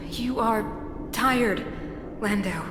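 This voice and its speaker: A woman's voice: on the high side, very slow, background noise. An actress playing a stern, imperious middle-aged female ruler in a video game, formal and commanding. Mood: cold, stern. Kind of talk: video game story voice acting. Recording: echoey reverb with music behind.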